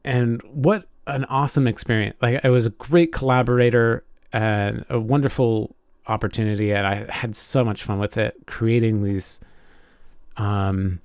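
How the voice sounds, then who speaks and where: A man's voice: medium pitch, fairly even intonation, normal speed, darkish tone, clear, resonant tone, dry recording, clean studio sound. A man co-hosting a podcast in casual chat, telling things informally and hesitantly as he goes. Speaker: podcast co-host.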